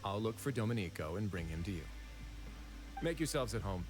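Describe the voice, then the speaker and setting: A man playing a wry, composed duke of a prison fortress in an anime fantasy game, dry and unhurried, with music behind. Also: on the low side, lively intonation, lightly acted.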